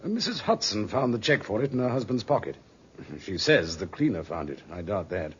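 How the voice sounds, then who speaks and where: A man's voice: on the low side, big pitch swings, a little fast, breathy edge, heavily acted. An actor playing a polite, astute male private detective in a 1950s radio drama, deferential and a little wry. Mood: astute, confiding. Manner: deferential, slightly hesitant, wry. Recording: muffled 1950s radio recording, faint noise.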